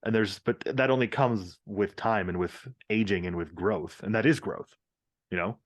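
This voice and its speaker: A man's voice: fairly low pitch, normal speed. A man co-hosting a podcast, chatting informally and reflectively, with some swearing and hedging. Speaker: podcast co-host. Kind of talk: casual, reflective chat.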